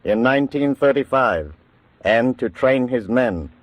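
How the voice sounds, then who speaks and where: A man narrating a wartime radio documentary drama in a measured, authoritative 1940s style. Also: mid-range voice, animated, no room sound, dull audio.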